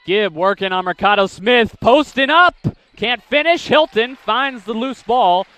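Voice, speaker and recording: A young man calling basketball play-by-play, with rapid calls that climb sharply on big plays. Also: extremely high pitch, animated, pushed and bright, clean tone. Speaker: play-by-play announcer. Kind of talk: live basketball play-by-play commentary.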